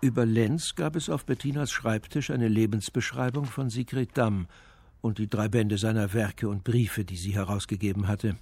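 A man's voice: lowish voice, steady tone, moderate pace, a little mellow, slight background noise, natural voice. A male actor playing the sober, matter-of-fact narrator of a detective story in a scripted radio play.

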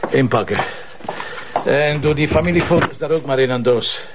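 A man with a Flemish accent playing a blunt, sardonic police commissioner in a crime drama, bossy and colloquial, with a gravelly voice. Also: mid-range voice, normal speed, background noise, lightly acted. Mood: bossy, dry. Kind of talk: acted drama dialogue.

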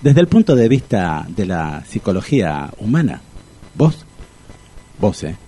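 An actor playing an anxious, argumentative man in his sixties in a comic radio drama, with a husky Rioplatense Argentine accent and a pedantic, repetitive manner. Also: on the low side, sing-song, a little slow, mellow, exaggerated voice. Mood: lecturing. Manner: exasperated, pedantic, repeats himself. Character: Tito, anxious, argumentative sixty-year-old man, lovesick amateur psychologist.

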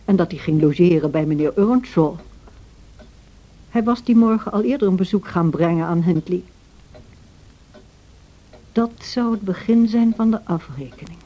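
A woman playing a sensible, motherly housekeeper who recounts events in an old radio play, measured and gently admonishing. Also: fairly low pitch, animated, highs cut off. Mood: recounting.

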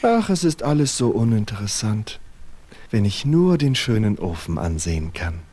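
A man's voice: lowish voice, big pitch swings, normal speed, darkish tone. A man playing a naive, lovesick snowman with an earnest, wondering manner in a children's fairy-tale audio drama. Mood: longing.

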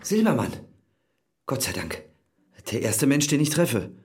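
An actor playing a ruined, chatty schemer in an audio drama, pushy and wry, with a raspy voice. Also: male voice, mid-range voice, very animated, a little slow, darkish tone, full character voice. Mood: relieved, wry. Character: ruined, fast-talking schemer with wry gallows humour.

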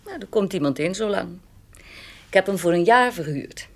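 An actress playing a calm, frank, independent woman in a radio drama, plain-spoken and gently teasing. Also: mid-range voice, moderate pace. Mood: matter-of-fact, teasing.

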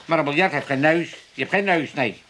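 A man's voice: fairly high pitch, brisk, fairly bright tone, a little hiss or hum, natural voice. An actor playing a grumbling, mooching older father who loves his drink, with an Amsterdam accent, in a theatrical, whining radio comedy style. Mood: argumentative. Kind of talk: 1980s radio comedy acting.

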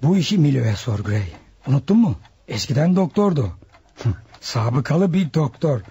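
An actor playing a stern, menacing ringleader of hired killers in a radio drama, with a husky voice. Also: male voice, mid-range voice, very animated, normal speed, dark tone, lo-fi sound, full character voice. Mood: commanding, calculating.

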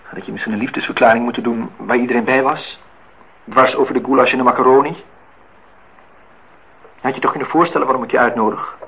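An actor playing a brooding, insistent, melancholy engineer in a radio play. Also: male voice, medium pitch, steady tone, fast talker, long pauses, close to an everyday voice. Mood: insistent, piqued. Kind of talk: radio play dialogue and monologue. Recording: muffled, noisy old radio-play recording.

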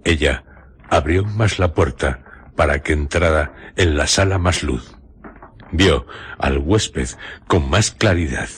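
A man narrating a radio drama in a measured, suspenseful storytelling manner. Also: a bass voice, slightly rough.

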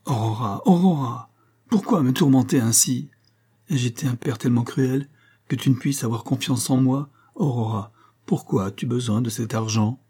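A man voicing a gentle, anxious old banker who dotes on his daughter, in an audiobook story. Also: mid-range voice, normal speed, slightly rough, pitched above the performer's own voice, close to an everyday voice. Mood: impatient. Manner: tender, earnest, pleading.

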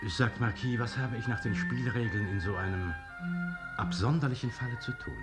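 An actor playing an imperious king in a radio play, ironically polite and deliberate. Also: mid-range voice, over loud music, natural voice. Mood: amused curiosity. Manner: regal, mock-courteous, deliberate. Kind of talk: German radio play acting.

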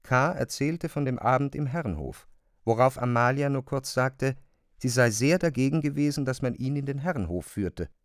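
A man's voice: medium pitch, moderate pace, smooth, no echo. Dry, close man narrating a literary drama.

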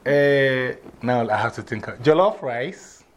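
A man's voice: mid-range voice, lively intonation, a little slow, a little hiss or hum. A man with a Ghanaian accent giving informal classroom instruction, directing people and repeating his instructions. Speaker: teacher of a drumming and English class.

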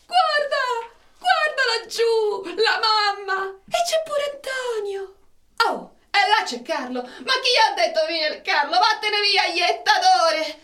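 A woman's voice: very high-pitched, expressive, slow pace, sharp tone, full character voice. A woman playing a childlike, dreamy, eccentric cat lover in a radio drama, theatrical and singsong, with a Roman accent. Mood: dreamy, rapturous. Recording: echoey room, distant mic.

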